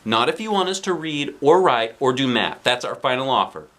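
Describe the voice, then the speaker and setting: A man's voice: medium pitch, sing-song, moderate pace, fairly bright tone. Man reading as a bossy, insistent schoolgirl. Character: bossy, sensible young schoolgirl. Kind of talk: children's storybook character reading.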